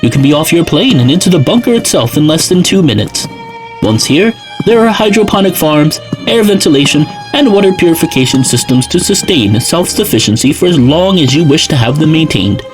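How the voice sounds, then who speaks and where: A man's voice: a little high, big pitch swings, fairly quick, soft background music, low-quality recording. A man playing a polished, formal salesman who is eager to sell, in an audio drama. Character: polished male salesman pitching a luxury survival bunker.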